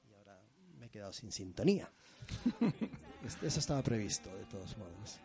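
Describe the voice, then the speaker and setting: A man's voice: fairly low pitch, big pitch swings, brisk, mellow, faint music behind. A middle-aged man from Peru hosting a live poetry segment before an audience, moving from theatrical introductions to loose, self-mocking asides.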